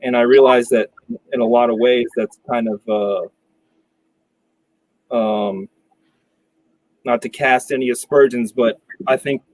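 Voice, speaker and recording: A young man talking as a guest on a video call, relaxed and slangy, pausing on fillers. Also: medium pitch, no room sound, rough recording. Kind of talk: casual conversation over video call.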